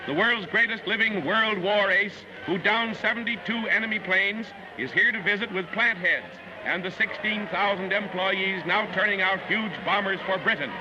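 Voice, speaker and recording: Male American announcer, high-voiced, 1940s newsreel script, lo-fi archive track. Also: moderate pace, hardly any pauses, noisy background. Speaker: newsreel narrator. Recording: band-limited 1940s newsreel soundtrack with music. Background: newsreel music.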